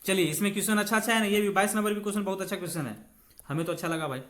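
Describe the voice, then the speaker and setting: A man's voice: sits high, very fast, fairly bright tone. A male teacher. He reads out exam questions and explains them step by step in an informal way. He speaks to students like friends, repeats key phrases and slips in English technical words. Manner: mixes English technical terms into Hindi. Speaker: physics teacher.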